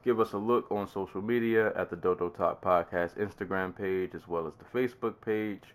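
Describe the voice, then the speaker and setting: A man's voice: sits low, steady tone, fairly quick. A man hosting a casual podcast while congested with a summer cold, laughing and coughing often. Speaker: podcast host.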